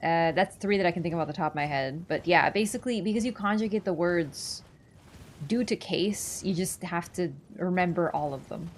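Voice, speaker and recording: A woman's voice: fairly low pitch, normal speed, a little hiss or hum. A woman streamer chatting casually with her chat while she plays a game, laughing easily and answering questions. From Poland. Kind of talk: casual gaming livestream chat.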